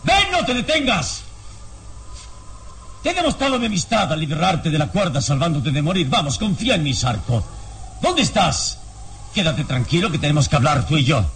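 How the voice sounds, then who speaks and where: Commanding hero, animated pitch. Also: male voice, a little hiss or hum. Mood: urgent, pleading, then reassuring. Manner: deliberate, insistent, theatrical. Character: calm, commanding male adventurer hero, persuasive and protective. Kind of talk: Spanish-language radio serial acting.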